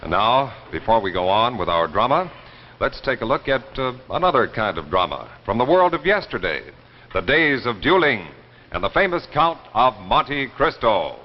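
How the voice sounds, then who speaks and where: An echoey, dulled vintage broadcast features an American man announcing in polished 1950s commercial style. His mid-pitched voice swings expressively as he sells, smooth in manner and formal in presentation. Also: sing-song, moderate pace, highs cut off, slight background noise. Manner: polished, salesmanlike.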